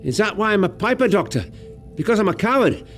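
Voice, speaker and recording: A young Scottish piper in an audio drama, haunted by battlefield guilt. His voice is high and swings widely, halting with emotion, over soft music. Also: very animated, exaggerated voice. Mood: guilty, distraught.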